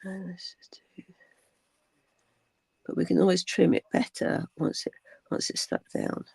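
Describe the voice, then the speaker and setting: An older woman with a croaky voice, chatting casually through a craft tutorial, rambling with many ums and dropping to a whisper while she works. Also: on the low side, flat delivery, unhurried, darkish tone, no room sound. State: croaky from cold weather. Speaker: crafting channel host.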